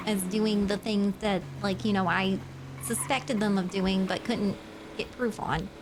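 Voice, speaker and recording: A woman's voice: mid-range voice, fairly even intonation, measured pace, background noise, close to an everyday voice. A woman playing a female governor facing corruption charges, talking earnestly in live roleplay, with a hopeful, rambling manner. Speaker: game character.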